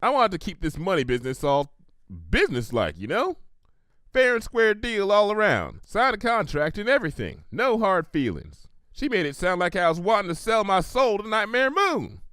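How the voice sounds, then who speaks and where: A man's voice: sits high, very animated, moderate pace, a bit bright, no echo, clean studio sound, voice pushed up. A man voicing a proud, stubborn female country pony in a cartoon story, with a folksy Southern US drawl. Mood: exasperated.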